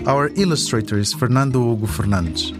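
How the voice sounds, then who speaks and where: Guy hosting a documentary podcast, reading a script, medium pitched voice, music behind him. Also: fairly quick. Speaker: podcast host and narrator.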